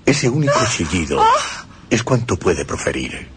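Low-voiced male storyteller, expressive horror narration for radio; faint noise in the background. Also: normal speed.

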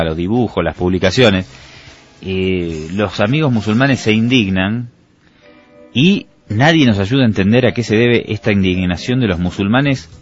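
A man in his thirties with an Argentine accent, co-hosting a radio show in a casual, joking, opinionated way. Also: fairly low pitch. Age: 30s. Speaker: radio co-host. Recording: dull lo-fi radio with faint music.

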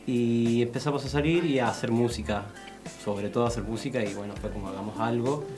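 A man from Chile, a guest musician explaining his music in technical detail, a bit halting. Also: mid-range voice. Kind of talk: casual interview chat.

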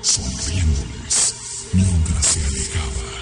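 A man's voice: very deep voice, takes it slowly, loud music behind the voice, full character voice. A man with a Mexican accent narrating a horror radio drama as a grave, ominous storyteller. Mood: grave, suspenseful.

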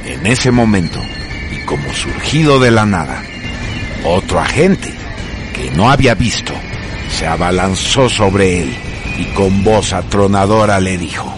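A man's voice: deep voice, animated, measured pace, music in the background. A man reading scripted dramatic narration for a radio serial in a grave, formal manner.

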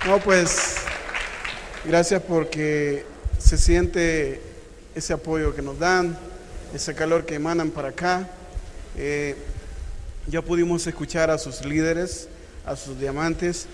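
A man's voice: fairly high pitch, fairly even intonation, slow, pauses often, a little hiss or hum. A man giving a rousing motivational speech at a business event, calling the audience champions. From Central America. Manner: rousing, addresses the crowd as champions. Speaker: business conference speaker. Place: large conference hall stage. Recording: long hall reverb, faint crowd ambience.

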